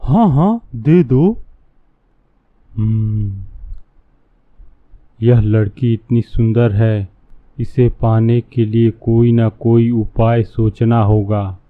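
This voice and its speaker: A man's voice: mid-range voice, extremely slow, long pauses, dry recording. A man playing a scheming, lecherous fake holy man in a cartoon, speaking in a sly, pompous way. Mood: commanding. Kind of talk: cartoon dialogue. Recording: narrow, phone-like muffled sound.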